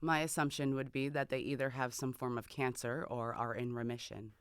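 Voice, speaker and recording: A woman's voice: a bass voice, flat delivery, fairly quick, clear, resonant tone, no room sound, studio quality. A woman playing a guarded, unyielding federal medical investigator in an audio drama, formal and clipped. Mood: cool, guarded. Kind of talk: dramatic podcast acting.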